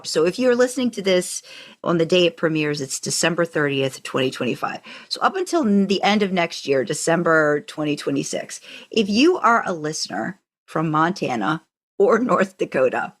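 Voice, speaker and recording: A woman with a slightly low voice and very lively intonation hosts a podcast. She talks casually and chattily, as in an informal conversation. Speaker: podcast co-host.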